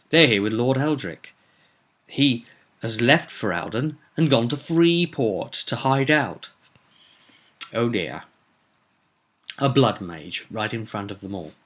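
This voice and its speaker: Forceful low-voiced man telling a dramatic story. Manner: theatrical, emphatic, with deliberate pauses. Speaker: game master recapping a tabletop campaign.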